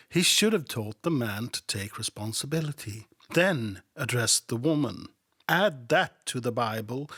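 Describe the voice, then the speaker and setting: A man reads scripture slowly and mocks it as he goes, sarcastic and profane. His voice is bright and somewhat husky, with faint music behind him. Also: mid-range voice, dry recording, clean studio sound. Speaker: podcast host. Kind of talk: scripture reading with commentary.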